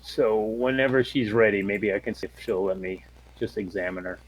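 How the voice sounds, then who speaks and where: A man's voice: lowish voice, fairly even intonation, normal speed, breathy edge, poor audio. A man playing a tabletop game, talking casually and tentatively as he thinks aloud.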